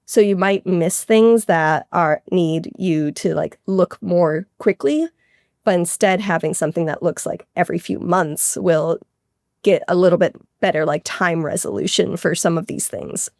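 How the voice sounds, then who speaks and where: A woman's voice: lowish voice, unhurried, gravelly, darkish tone, dry recording, clean studio sound. A woman speaking as an astronomer guest in an interview, explaining technical things in a keen, informal, conversational way. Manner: keen, informal, technical with frequent hedging asides.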